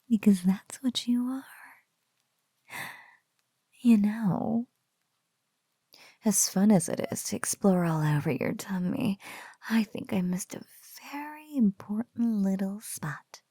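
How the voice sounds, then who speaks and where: Slow, whispery, teasing spider-woman played by a woman. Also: medium pitch, dry recording, studio quality, full character voice. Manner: slow, soft, breathy, lingering teasing delivery. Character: teasing, doting female spider-woman captor, playfully cruel. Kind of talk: whispered ASMR roleplay audio drama.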